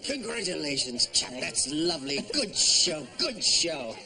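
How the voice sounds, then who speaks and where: Swooping, boastful fighter ace. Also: male voice, a little high, wildly swooping pitch, a little slow, pushed and bright, heavily acted. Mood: jovial. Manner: theatrical, boastful. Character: flamboyant, boastful British wartime fighter pilot commander.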